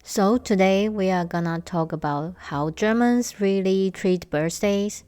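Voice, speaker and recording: A young woman from Taiwan, hosting a casual solo podcast and telling stories slowly with light humor. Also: mid-range voice, darkish tone, no echo, faint music behind. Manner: slow, measured storytelling with light humor. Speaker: podcast host.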